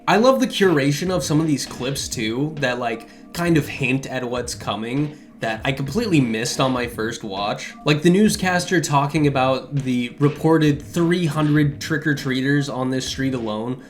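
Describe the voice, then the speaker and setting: A man's voice: medium pitch, expressive, measured pace. A man delivers casual video essay commentary in an enthusiastic, conversational way. His phrasing is loose and informal, peppered with filler words, like a reviewer sharing what he enjoys.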